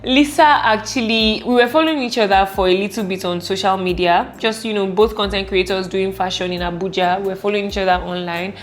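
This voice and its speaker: A young woman with a Nigerian accent talks to her viewers in a casual Q&A vlog. Her voice sits at a middle pitch, and her manner is playful, animated and exclamatory. Music plays behind her. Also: fairly quick, few pauses. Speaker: vlogger answering viewer questions.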